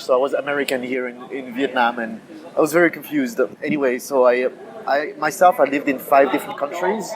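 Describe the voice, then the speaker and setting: A man in his thirties from France, a guest in a casual interview, who talks haltingly with many fillers and restarts. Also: mid-range voice, poor audio. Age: 30s. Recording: busy crowd chatter behind.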